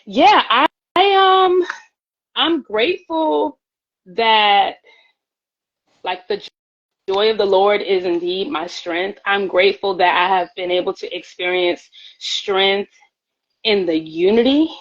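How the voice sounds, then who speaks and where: A woman's voice: mid-range voice, slow, pauses often, lo-fi sound. A woman singer talking earnestly as a guest in a casual live-stream chat, often repeating her words. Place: at home, by her pantry. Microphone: phone on a live stream.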